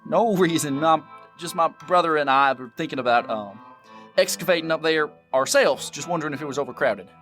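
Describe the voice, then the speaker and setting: An actor playing a scheming, bossy American bandit in an audio drama, with an impatient folksy frontier drawl. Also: male voice, on the high side, lively intonation, brisk, a bit bright, faint music behind. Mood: sly. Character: scheming, bossy, dim-witted bandit brother, male.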